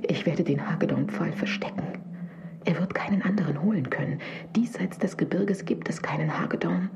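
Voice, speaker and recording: A woman playing an anxious, devout village wife in a German audio drama, speaking in hushed, worried tones. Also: on the low side, moderate pace, whispered, dull audio, close to an everyday voice. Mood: anxious, conspiratorial.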